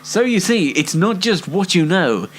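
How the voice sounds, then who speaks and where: A man presents a variety show over music, with a chatty and mock-dramatic delivery. His pitch swings widely, and he takes his time, drawing things out for effect. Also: sing-song, a little slow. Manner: playfully theatrical, mock-dramatic. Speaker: host of an online variety show. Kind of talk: chatty scripted-style presenting with ad-libs.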